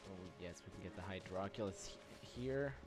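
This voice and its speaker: A man with a fairly low voice talks through his video game as he plays. He thinks aloud in a casual, hesitant way, often trailing off mid-thought. Loud music plays behind him. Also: normal speed. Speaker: gamer doing a playthrough.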